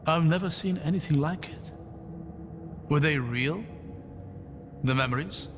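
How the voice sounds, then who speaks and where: An actor playing a curious, learned scholar in a fantasy video game, articulate, formal and thoughtful. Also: male voice, fairly high pitch, unhurried, noisy background, close to an everyday voice. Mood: astonished, curious. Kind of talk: dramatic video game acting.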